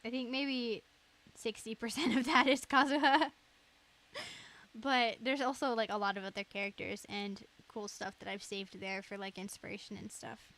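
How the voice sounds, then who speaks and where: A woman's voice: a little high, fairly even intonation, moderate pace, a bit bright. A young woman live streamer chatting casually in a giggly, rambling way.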